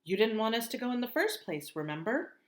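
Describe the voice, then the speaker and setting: A woman reading aloud voices a tense mother who speaks in a measured, placating way. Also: fairly high pitch, sing-song, pitched above the performer's own voice. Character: careful, tense mother of two.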